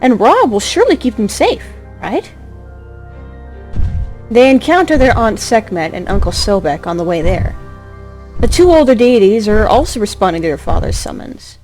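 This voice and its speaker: A woman's voice: fairly high pitch, very animated, moderate pace, a little mellow. A woman delivering scripted story narration in an emotive, theatrical way. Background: music.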